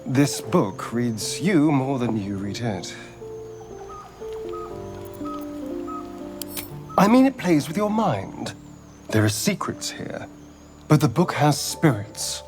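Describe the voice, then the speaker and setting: An actor playing an elegant, irritable elf rogue in a fantasy video game, with an arch, theatrical manner. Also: male voice, mid-range voice, big pitch swings, unhurried, darkish tone. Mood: confiding, uneasy. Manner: arch, theatrical, clipped asides. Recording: game music behind the voice.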